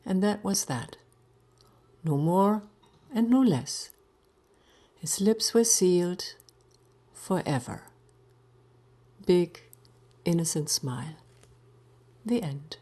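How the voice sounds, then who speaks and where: A woman narrating a story in the first person, deliberate and theatrical, sometimes dropping to a hush. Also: on the low side, animated. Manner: deliberate, theatrical, breaking into hushed phrases.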